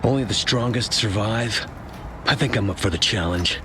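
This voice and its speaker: A man's voice: lowish voice, moderate pace, close to an everyday voice. A man playing a grim, war-weary super soldier in a video game, brooding and dry. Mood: grim, resolute. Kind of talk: video game character voice line. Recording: noisy game ambience behind.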